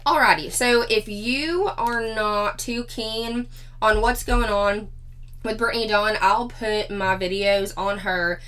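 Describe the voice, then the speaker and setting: A slightly hoarse woman, chatting casually as a commentary vlog host who laughs often. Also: mid-range voice, fairly even intonation, slow, a bit bright. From Four-State area of Missouri, Arkansas, Kansas, Oklahoma.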